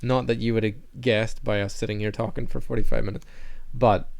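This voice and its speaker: A man's voice: fairly low pitch, fairly even intonation, brisk, creaky, darkish tone. A man in his thirties co-hosting a podcast from a small office studio, chatting casually and rambling with a self-deprecating humour. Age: 30s. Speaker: podcast co-host. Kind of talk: casual chat. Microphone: studio mic on boom arm.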